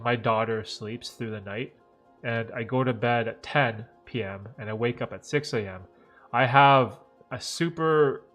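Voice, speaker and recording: A man in his thirties hosting a live question-and-answer stream, talking in a relaxed, rambling way with lots of "like" and "right?", his voice a little nasal and stuffed up from allergies. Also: medium pitch. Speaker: livestream host answering viewer questions. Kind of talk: casual live Q&A chat.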